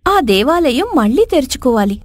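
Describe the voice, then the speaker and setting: A woman's voice: sits high, sing-song, moderate pace, no echo, studio quality. A woman voicing an earnest, wronged young princess in a moral folk tale, speaking formally and solemnly. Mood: earnest, sorrowful. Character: spurned dark-skinned young princess, earnest, wise, wronged.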